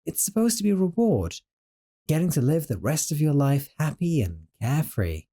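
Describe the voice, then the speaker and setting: A nervous young sorcerer roommate, played by a man, soft-spoken and kind, stumbling over his words as he soothes the listener. His voice has a mellow warmth and swings freely up and down as he talks. The sound suits an intimate audio roleplay. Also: a little high, very animated, moderate pace. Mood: guilty confession. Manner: hesitant, stammering, soothing.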